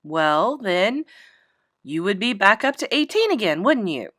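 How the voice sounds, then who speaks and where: Casting: woman as storybook kindergarten teacher, patient yet exasperated, firm emphatic explanations, lively intonation. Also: medium pitch, a little slow, dry recording, studio quality.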